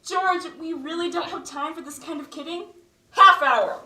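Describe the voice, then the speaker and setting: A young woman acting in a stage play as a brisk, impatient stage manager, urgent and commanding. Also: extremely high pitch, bright, forceful tone, exaggerated voice. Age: teens. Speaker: student actor. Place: theatre stage. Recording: echoey stage recording from far off, hiss.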